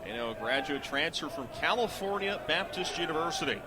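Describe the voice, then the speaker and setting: A middle-aged man calling live sports on the radio as a play-by-play announcer, in measured, clipped phrases. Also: fairly high pitch, animated, background noise.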